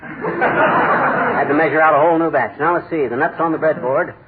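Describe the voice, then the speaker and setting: A man's voice: a little high, moderate pace, over a noisy crowd, lightly acted. An actor playing a blustering, stubborn husband in a 1940s radio sitcom, arguing his point and chuckling. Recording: tinny old radio broadcast with loud hiss.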